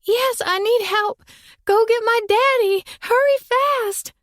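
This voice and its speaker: A woman voicing a frightened little girl stuck in a tree, high and tearful. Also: slow, fairly bright tone, no room sound, clean studio sound, full character voice. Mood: pleading.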